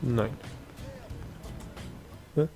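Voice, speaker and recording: A man's voice: very slow, warm tone, faint music behind. A German man giving casual let's play commentary, musing and thinking aloud. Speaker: let's player.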